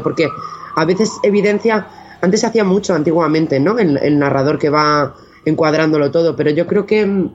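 A woman giving thoughtful interview answers in a hesitant way, pausing to search for words. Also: low voice, a little mellow, muffled recording. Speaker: interview guest, audio fiction maker.

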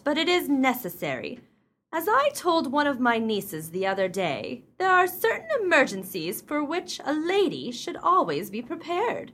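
Snooty proper matron, radio play, pompous, kinda high, really animated pitch. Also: female voice, exaggerated voice. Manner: pompous, emphatic, formal. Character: self-important, exacting society matron, proper and pompous.

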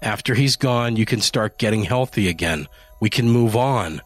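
Man playing a calm cold husband, low husky voice, slow and steady. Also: faint music behind. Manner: calm, quietly firm. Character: calm, cold husband set on harming a cat.

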